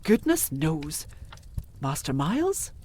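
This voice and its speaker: An older Victorian housekeeper in a ghost story. Her husky voice moves slowly with wide swoops of pitch, deferential and emphatic, as if scandalised by everything she must report. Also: huge pitch swings, dark tone, full character voice. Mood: grave, confiding. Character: older female housekeeper, deferential, scandalised, loyal, easily shocked. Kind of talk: audio drama acting of Victorian gothic ghost story.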